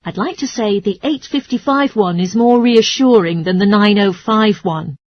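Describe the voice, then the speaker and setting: A woman's voice: mid-range voice, moderate pace, a little mellow, no room sound, lightly acted. A woman playing a courteous, helpful transport information clerk in a scripted language-test dialogue.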